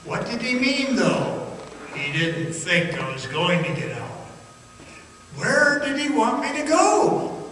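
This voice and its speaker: Man acting high-pitched, frightened miner in echoey hall. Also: very animated, bright, forceful tone, heavily acted. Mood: uneasy dread. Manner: halting, suspenseful, dramatic storytelling. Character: frightened miner recounting his haunting underground ordeal. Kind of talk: radio theatre dramatic monologue. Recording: noisy, very echoey hall, distant mic.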